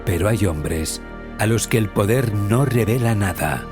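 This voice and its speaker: A man's voice: lowish voice, animated, measured pace. A man delivering dramatic scripted narration for an audio fiction trailer. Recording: prominent music under voice-over.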